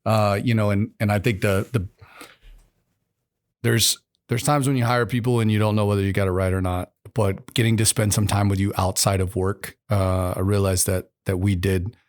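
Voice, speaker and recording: A man's voice: on the low side, flat delivery, normal speed. A middle-aged man with a rural American accent, hosting a casual interview and talking in a rambling way with many restarts. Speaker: podcast host, military chief.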